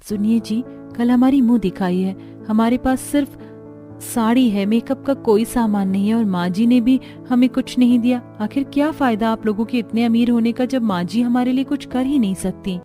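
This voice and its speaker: A woman's voice: fairly high pitch, moderate pace, warm tone, close to an everyday voice. A woman voicing a poor young bride in a cartoon story, speaking plaintively. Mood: complaining. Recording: music under the voice.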